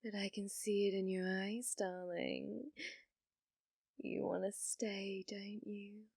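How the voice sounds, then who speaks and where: Woman acting out a slow, teasing, flirty yandere date. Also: mid-range voice, little pitch movement, vocal fry, a little mellow, breathy edge, no room sound, clean studio sound, exaggerated voice. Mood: intimate, seductive. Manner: teasing, coy, slow and deliberate, drops to whispers. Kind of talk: ASMR roleplay.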